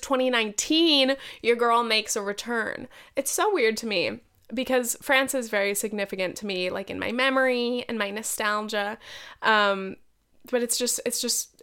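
A woman's voice: mid-range voice, fairly bright tone, clean tone. An American woman in her twenties with a nasal, congested voice from a head cold, chatting casually and slangily as a solo podcast host. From United States. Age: 20s. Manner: slangy, sweary, self-mocking. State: getting over a head cold.

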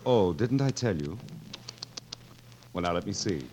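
A gloating, menacing king in a 1940s radio drama. His deep voice slides up and down with sardonic relish, drawling in mock forgetfulness. Faint noise runs under the old broadcast. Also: lively intonation, unhurried, darkish tone, low-quality recording. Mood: gloating, sly.

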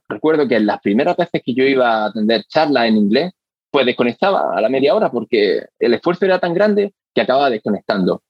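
A man's voice: mid-range voice, darkish tone, husky, no echo, muffled recording. A man explaining how attention and hearing work in an interview, thoughtful, often restarting and repeating words as he goes. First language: Spanish. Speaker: hearing research guest.

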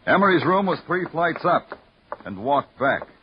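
Casting: hard-boiled night-shift cop, terse, world-weary, expressive pitch swings, dull vintage radio sound. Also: male voice, moderate pace, heavy background noise. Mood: brooding. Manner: terse, deadpan, brooding. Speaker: lead actor and narrator. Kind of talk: noir radio drama narration.

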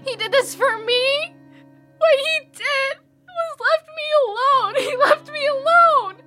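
A woman's voice: extremely high pitch, takes it slowly, pushed and bright, heavily acted. Audio drama lead, woman acting: grieving young female survivor, bitter, emotionally raw, foul-mouthed, music underneath. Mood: anguished, furious.

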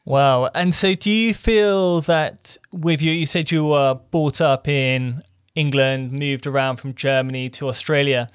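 A man hosting a podcast, giving a measured, welcoming presenter delivery in interviews and a scripted intro. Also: medium pitch, fairly even intonation, clean tone, no echo, studio quality. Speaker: podcast host.

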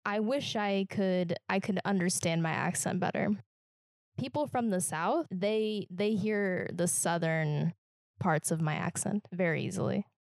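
A woman from Kansas with a Midwestern American accent talks through ideas on a casual podcast, her voice a little low and gravelly. She speaks in a reflective, halting way and restarts phrases often. The recording is dry and close, with no room sound. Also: darkish tone, dry recording. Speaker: podcast co-host.

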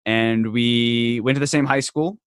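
A man's voice: mid-range voice, fairly even intonation, unhurried, clear, resonant tone, dry recording. Male podcast host, late 20s, chatty and jokey, dry close sound. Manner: chatty, jokey storytelling with lots of "like". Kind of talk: casual podcast chat.

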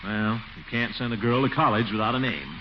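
An actor playing a pompous, blustery, easily exasperated middle-aged town commissioner in a 1940s radio comedy, on a muffled old recording. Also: male voice, medium pitch, brisk, slight background noise, close to an everyday voice. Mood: preoccupied, grumbling. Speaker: lead comic character.

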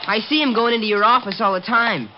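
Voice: medium pitch, fast, background noise, close to an everyday voice. A performer playing a plucky, streetwise newsboy in a 1950s radio drama, talking in eager, friendly slang with a stubborn streak. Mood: chirpy, friendly. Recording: dull vintage radio recording.

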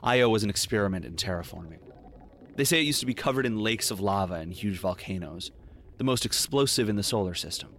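Low-voiced, world-weary detective. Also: male voice, animated, fairly quick, a bit bright, a little hiss or hum. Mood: wry, brooding. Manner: wry, brooding, sardonic. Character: world-weary, wry male detective narrating his story. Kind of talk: noir audio drama narration and dialogue. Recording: faint music behind the voice.

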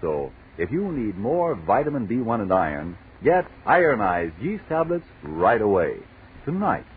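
A man's voice: fairly low pitch, sing-song, measured pace. A man announcing a 1940s radio commercial in a formal, emphatic sales style. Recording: noisy, tinny 1940s radio broadcast.

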